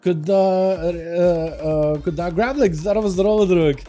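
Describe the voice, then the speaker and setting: A high-voiced young man from Russia talks loosely through a headset mic, full of fillers and restarts. His tone is mellow and relaxed. Faint music plays underneath. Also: measured pace. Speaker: streamer. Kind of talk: casual livestream chat.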